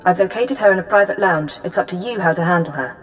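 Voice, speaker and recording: An actress playing a cool, businesslike female intelligence handler in a video game, giving briefings with crisp control. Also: lowish voice, fast, lightly acted, poor audio. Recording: music under the voice.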